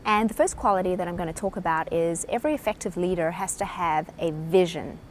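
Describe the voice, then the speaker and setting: A woman's voice: fairly low pitch, fairly quick, slight background noise. A woman with a South African accent giving a short, earnest talk explaining a leadership topic. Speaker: presenter.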